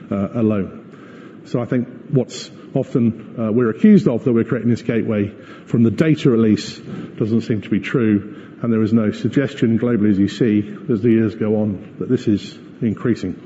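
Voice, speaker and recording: A man giving a corporate presentation as a company executive, explaining and arguing his points in a measured way. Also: fairly low pitch, warm tone, slight background noise. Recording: muffled echoey hall recording.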